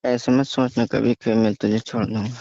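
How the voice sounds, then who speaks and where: A man's voice: on the low side, level tone, moderate pace, creaky, warm tone, no room sound. A young man chatting casually on a private phone call, hesitant and often stammering over repeated words. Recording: dull, dry lo-fi call recording.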